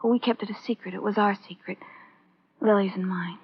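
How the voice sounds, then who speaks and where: An American actress playing an earnest, starry-eyed high school girl who confides naively in an old radio drama. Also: fairly even intonation, fairly quick, breathy edge. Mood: confiding. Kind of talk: 1950s radio drama acting. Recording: dull old radio recording.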